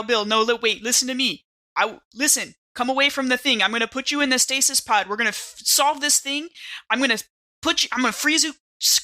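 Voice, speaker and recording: A woman playing a tabletop roleplaying game, talking casually and in character, animated and playful. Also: high-pitched.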